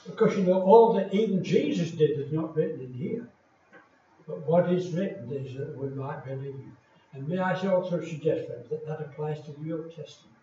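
An older man from England, a retired pastor, preaching in a slow, deliberate and emphatic way with many pauses. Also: a little high, warm tone, slight background noise. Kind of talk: sermon. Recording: echoey hall from far off, dull lo-fi.

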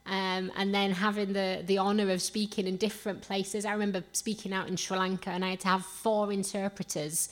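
Female preacher, Yorkshire accent, medium pitch, earnest sermon delivery, rhetorical questions, repeated phrases for emphasis. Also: flat delivery, brisk, hardly any pauses. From Rotherham, Yorkshire, England. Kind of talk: sermon with personal stories.

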